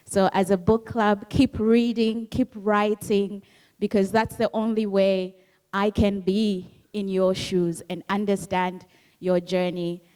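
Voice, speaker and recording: A woman's voice: mid-range voice, flat delivery, takes it slowly, warm tone, clear, resonant tone. A woman from Zambia, Bemba her first language, talking earnestly and reflectively about her book at a book club. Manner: earnest, reflective, repeats key phrases. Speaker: author.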